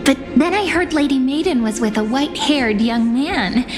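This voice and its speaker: A young woman playing a sweet, playful childhood friend in a dramatic video game, gentle and unhurried. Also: high-pitched, lively intonation. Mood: fond, reassuring. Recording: music playing behind the voice. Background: game music.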